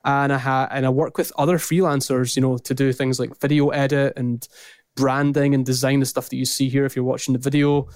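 A man's voice: mid-range voice, a little fast, no room sound, studio quality. A man in his thirties with a strong Scottish accent, talking casually as a podcast guest from his office, rambling through lists. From Scotland. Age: 30s. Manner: rambling, list-heavy, lively. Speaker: podcast guest, business founder. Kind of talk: casual interview talk.

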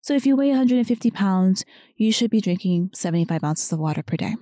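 A woman hosting a podcast speaks gently and encouragingly, her voice a bit strained because she is losing it. Her tone is warm and mellow. The recording is close and dry. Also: medium pitch, lively intonation, fast talker, no room sound. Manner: softer than usual, encouraging. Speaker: podcast host and weight loss coach. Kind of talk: solo podcast talk.

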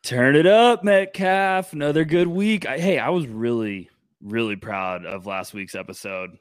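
Man, podcast co-host, energetic and rambling, middle pitch, dry close sound. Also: unhurried, no room sound. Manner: energetic, animated, rambling with restarts. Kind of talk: casual sports podcast chat.

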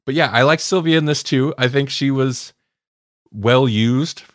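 A man's voice: medium pitch, measured pace, no echo, studio quality. A man co-hosting a podcast, chatting casually and giving his opinions in a conversational way. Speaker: podcast co-host. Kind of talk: casual fan discussion chat.

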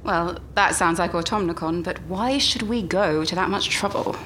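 A woman's voice: on the low side, normal speed, lightly acted. A woman playing a blunt, sceptical female crew member in a live sci-fi comedy audio drama. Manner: blunt, questioning, animated. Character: sceptical, blunt spaceship crewwoman. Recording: live stage recording with faint noise.

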